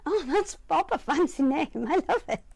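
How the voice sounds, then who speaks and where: A woman's voice: sits high, lively intonation, normal speed, gravelly, soft background music. A woman hosting a live sewing stream, chatting casually and laughing often. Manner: chatty, laughs often, warm-hearted teasing.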